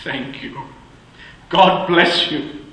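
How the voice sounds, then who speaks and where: An actor playing a reformed old miser, giddy and generous, laughing and exclaiming in a radio play. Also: male voice, on the high side, very slow, sharp tone, exaggerated voice. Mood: jovial. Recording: very echoey room.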